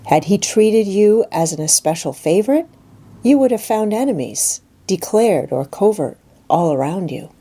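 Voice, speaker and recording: A woman voicing a calm, pious schoolgirl friend in a storybook reading, measured, earnest and gently reproving. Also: medium pitch. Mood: calm, reassuring. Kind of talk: dramatised audiobook reading.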